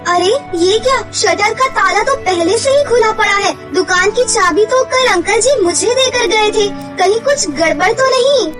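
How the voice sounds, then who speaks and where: A woman's voice: extremely high pitch, measured pace, hardly any pauses. A woman voicing an earnest, polite young working woman in a cartoon story, with a respectful, sincere manner. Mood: alarmed. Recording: music under the voice.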